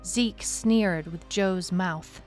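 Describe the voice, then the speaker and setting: A woman's voice: on the low side, drawn-out words, a little mellow, soft background music. A woman playing a determined detective who narrates her own case in a scripted audio drama. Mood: brooding, reflective. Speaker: lead narrator.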